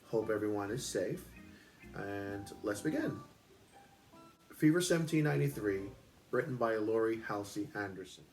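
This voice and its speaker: A man with a fairly low voice reads aloud as a book club host. His delivery is expressive storytelling, recorded at home with faint music underneath. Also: normal speed, long pauses, poor audio.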